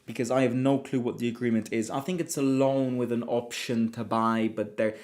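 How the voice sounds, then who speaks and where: A man's voice: mid-range voice, fairly even intonation, fairly quick, darkish tone. Chatty man with many restarts. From Italy. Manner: chatty, self-interrupting with frequent restarts and repeated words. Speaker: football fan channel host. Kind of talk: casual livestream chat reading viewer comments.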